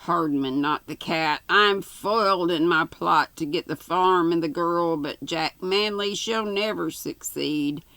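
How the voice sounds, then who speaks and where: A woman's voice: fairly low pitch, unhurried, hardly any pauses, pushed and bright, dry recording. A woman voicing a scheming male squire, a pompous and menacing villain in a storybook melodrama. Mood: scheming anger. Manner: pompous, stern, imperious.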